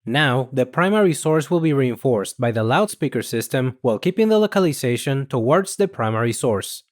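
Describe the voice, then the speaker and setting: Guy doing scripted software tutorial voiceover, explains stuff in a measured way, mid pitch. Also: expressive. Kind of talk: scripted instructional narration.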